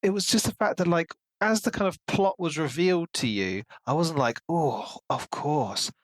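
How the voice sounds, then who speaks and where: A man's voice: on the high side, fairly quick, no room sound. A man co-hosting a book podcast, talking casually and animatedly, often slipping into mock dialogue to make his point. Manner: animated, acts out imagined dialogue mockingly. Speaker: podcast co-host reviewing books.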